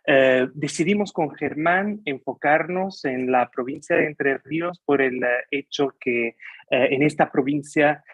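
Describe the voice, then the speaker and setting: A man's voice: a little high, unhurried, slightly rough, dry recording, lo-fi sound. Hesitant non-native man lecturing over a call. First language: Italian. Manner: hesitant, many fillers and restarts. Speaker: guest lecturer. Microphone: video call.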